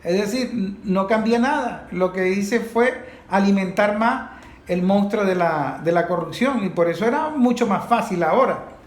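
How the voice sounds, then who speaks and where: High-voiced Venezuelan man, echoey room. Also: normal speed. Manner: emphatic, builds points through repetition. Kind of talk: unscripted panel discussion.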